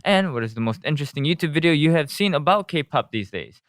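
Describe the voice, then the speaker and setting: A man's voice: fairly high pitch, animated, quick pace, no room sound, clean studio sound. A man co-hosting a podcast who reads out listener questions and adds brief asides. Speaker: podcast co-host. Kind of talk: casual podcast chat and reading listener questions.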